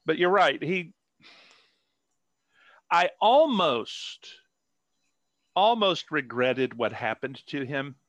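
A man's voice: on the high side, expressive, takes it slowly, long pauses, fairly bright tone, no echo. A man, an author, chatting casually in an interview over a video call, rambling with many fillers and restarts.

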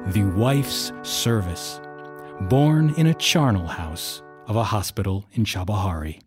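A man's voice: lowish voice, a little slow, close to an everyday voice. A man narrating a historical war tale for an audio drama in a grave, wry storytelling manner. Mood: measured, wry. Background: music under the narration.

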